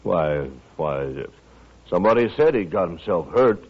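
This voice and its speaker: An American actor playing a slick, blustering, defensive gambler villain in a 1950s radio western. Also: male voice, low voice, animated, a little slow, heavily acted. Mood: rattled, defensive. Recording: muffled, noisy vintage radio recording.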